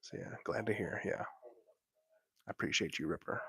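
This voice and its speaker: A young man whispering softly into a close microphone while hosting a laid-back late-night livestream from bed at home. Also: deep voice, moderate pace, gravelly. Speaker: livestream host.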